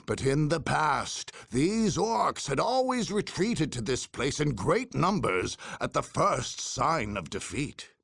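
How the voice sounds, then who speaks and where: An actor narrating video game cutscenes as a grave, theatrical chronicler of far-future war, in a husky, gravelly voice with deliberate delivery. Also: male voice, a little high, very animated, fairly bright tone, no room sound, studio quality, heavily acted. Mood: solemn, dramatic.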